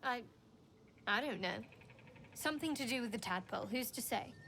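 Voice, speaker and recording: A woman voicing a guarded, devout young cleric of a dark goddess in a video game, speaking in a cool, measured manner. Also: fairly high pitch, animated, slight background noise. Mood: uneasy, guarded. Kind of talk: video game dialogue acting.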